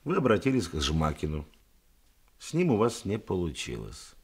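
An actor playing a shrewd, composed police detective in a radio drama, deliberate, commanding and dryly ironic. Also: male voice, deep voice, wildly swooping pitch, husky, full character voice. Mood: methodical, pressing.

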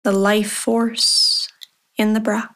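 A woman leading a guided meditation as host, giving calm, measured instructions with long pauses. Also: mid-range voice. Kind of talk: guided meditation, body scan.

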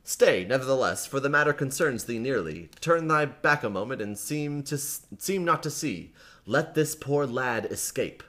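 A man reading as a calm, persuasive swordsman. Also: medium pitch, pitched above the performer's own voice, close to an everyday voice. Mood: low urging. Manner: measured, deliberate archaic speech. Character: calm, tranquil grown man, steady and persuasive.

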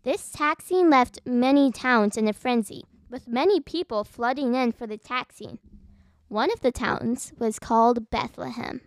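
A woman's voice: fairly high pitch, unhurried. A female co-host of a children's podcast, delivering scripted chat and narration.

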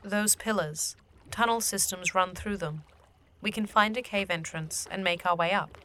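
A woman playing a calm otherworldly guardian and guide of the dead in a fantasy audio drama, with formal, measured phrasing. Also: mid-range voice, a little hiss or hum, natural voice. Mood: calm, matter-of-fact. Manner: measured, formal, avoids contractions.

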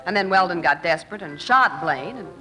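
Woman as a breezy 1940s radio newspaperwoman, crisp, lots of lilt. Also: lowish voice, lively intonation, brisk, over music, natural voice, rough recording. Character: quick, composed female newspaper reporter. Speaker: actress in a radio drama.

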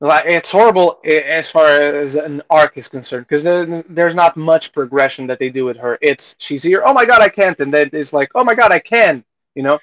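A man with a slightly high voice talks casually as a podcast host giving reviews. He often repeats and restarts phrases, slipping into quoted reactions as he goes. The sound is close and dry. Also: a bit bright, no room sound, poor audio. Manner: halting, repeats phrases, acts out imagined reactions.

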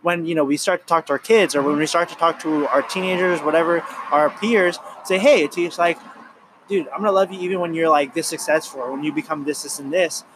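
A young man in his twenties talks in a loose, rambling way, circling back over his points with lots of "you know" and "like." His voice sits a bit high for a man, and a faint hiss runs under the recording. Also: brisk. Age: 20s. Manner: rambling, earnest, many fillers and restarts. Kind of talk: casual chat.

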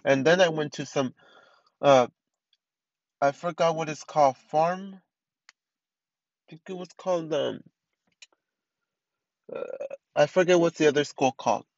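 A man's voice: on the high side, measured pace, long pauses, no room sound. Rambling young man, muffled dry audio. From East Los Angeles. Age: 20s. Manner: rambling, many restarts and fillers. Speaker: podcast host. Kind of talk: casual solo podcast monologue.

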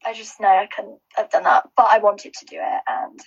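A woman's voice: medium pitch, flat delivery, normal speed, gravelly, slightly rough, highs cut off. A woman who is a finalist guest, chatting casually, rambling with lots of restarts and giggles. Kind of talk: casual chat.

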